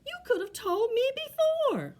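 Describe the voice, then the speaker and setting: A big, good-natured bear who gets things muddled, played by a woman reading a storybook. He talks simply and earnestly, slowly drawing out his words in a high, lilting voice. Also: sing-song, darkish tone, exaggerated voice. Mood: apologetic, friendly. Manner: simple, earnest, drawn-out delivery.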